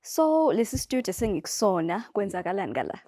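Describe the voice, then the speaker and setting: A woman's voice: medium pitch, sing-song, fairly quick, warm tone. A woman with a South African accent hosting an informal interview, asking questions. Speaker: interviewer and host. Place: indoor radio studio.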